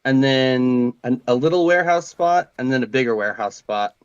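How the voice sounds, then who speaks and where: A man in his mid-thirties, a guest telling relaxed stories about his work and his youth in a modest way. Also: mid-range voice, moderate pace, clear, resonant tone, no echo, lo-fi sound. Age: 30s. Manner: modest, relaxed storyteller, says like and dude. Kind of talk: casual interview chat.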